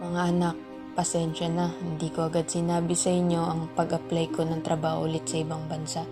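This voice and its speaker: A woman's voice: sits low, monotone, fairly quick, over music, natural voice. Woman acting as a stern, wounded Filipino mother. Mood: sorrowful, apologetic. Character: hurt, scolding Filipino mother of three. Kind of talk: amateur Tagalog radio drama acting. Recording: background soundtrack under the voice.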